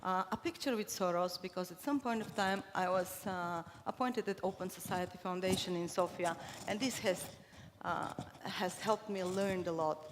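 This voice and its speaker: A woman's voice: fairly low pitch, moderate pace. A middle-aged woman from Bulgaria, speaking English as a second language and giving a conference presentation, with frequent fillers and restarts. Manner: hesitant, many fillers and restarts. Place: large conference hall. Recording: reverberant hall, faint noise.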